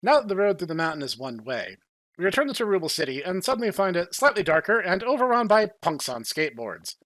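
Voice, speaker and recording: A man's voice: high voice, very animated, quick pace, fairly bright tone, dry recording. A man narrating a video game story as a podcast host, in a playful scripted style.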